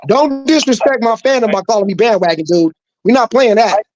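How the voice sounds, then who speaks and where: Co-host, man from New Mexico, high-ish voice, forceful and profane in debate. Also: brisk, highs cut off. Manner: emphatic, profane, repeating himself. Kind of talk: heated sports debate.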